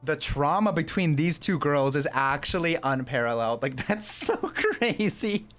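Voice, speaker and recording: A woman giving casual gameplay commentary as a streamer, reacting in an expressive, sympathetic and jokey way. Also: sits low, measured pace.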